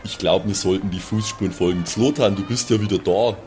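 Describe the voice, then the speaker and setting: A man's voice: lowish voice, a little fast, darkish tone, soft background music. A man, chatting casually as a player in an improvised tabletop game. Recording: clear background noise.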